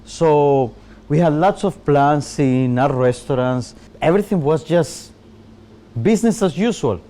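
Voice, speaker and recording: Earnest man, slightly high voice. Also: unhurried, mellow. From Colombia. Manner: earnest, with frequent restarts and fillers. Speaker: chef and local guide. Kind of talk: on-location interview, explaining. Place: bakery, on location.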